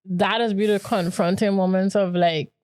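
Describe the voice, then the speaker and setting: A woman's voice: medium pitch, steady tone, normal speed, darkish tone, smooth, dry recording, clean studio sound. A woman, talking casually and reflectively as a podcast co-host. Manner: informal, reflective. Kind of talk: casual conversation.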